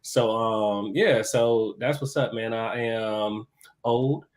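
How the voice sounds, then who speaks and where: A man, a guest chef talking about himself in a relaxed, chatty podcast interview. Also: on the low side, takes it slowly. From Chicago. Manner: laid-back, talkative, easy laughs.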